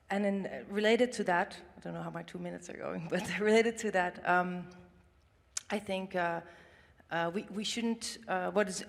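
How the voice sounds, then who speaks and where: Woman panelist, gravelly low voice, halting, echoing hall. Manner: hesitant, many fillers and restarts. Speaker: panelist, think tank director. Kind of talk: conference panel statement. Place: large conference hall. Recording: long hall reverb.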